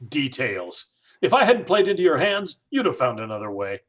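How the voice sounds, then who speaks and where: A man acting as a stubborn middle-aged father in an old-time radio chiller. His voice is fairly high and husky, swinging widely as he blusters and argues that it is all a hoax. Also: sing-song, normal speed, fairly bright tone. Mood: scornful. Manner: blustering, combative. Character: stubborn, skeptical father, angrily sure of a hoax.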